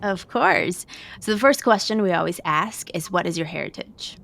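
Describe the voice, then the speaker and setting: A woman's voice: fairly low pitch, expressive, normal speed, creaky, a bit bright. A woman hosting an interview podcast, speaking English with some Spanish phrases mixed in. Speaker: podcast host.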